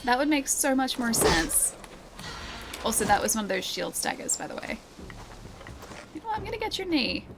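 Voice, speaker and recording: A woman streamer chatting and laughing with her viewers as she plays a game, her voice a little croaky. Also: high-pitched, sing-song, moderate pace, long pauses. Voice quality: voice a bit croaky early in stream. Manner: chatty, giggly, talks to chat while playing. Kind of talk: live gameplay commentary. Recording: game soundtrack playing behind. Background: game music.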